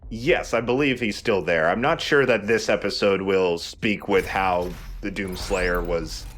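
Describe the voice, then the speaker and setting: A man's voice: sits low, measured pace, a bit bright, a little hiss or hum. A man giving casual, explanatory commentary as he plays a video game. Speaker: let's play commentator.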